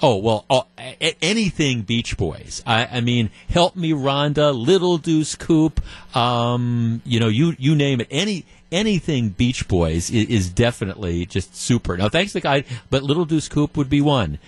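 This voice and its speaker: A man's voice: medium pitch, expressive, normal speed, no room sound. A male radio talk show host chatting with call-in listeners, often restarting sentences and chuckling. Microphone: studio microphone.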